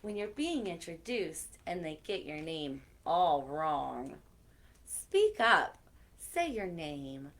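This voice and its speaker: A woman's voice: sits low, sing-song, slow pace, a bit bright. A woman hosting a children's story time, reading aloud expressively and chatting directly to her young listeners. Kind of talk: animated read-aloud for children with chatty asides.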